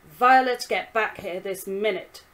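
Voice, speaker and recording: A woman voicing a tired father who speaks gently and then scolds firmly in a storybook reading. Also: a little high, big pitch swings, unhurried, pitched above the performer's own voice. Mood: stern. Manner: firm, scolding. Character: tired father, gentle but quick to anger.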